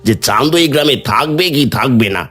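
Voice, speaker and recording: Man acting a husky, indignant villager. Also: medium pitch, lively intonation, a little fast, fairly bright tone, soft background music. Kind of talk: cartoon voice acting.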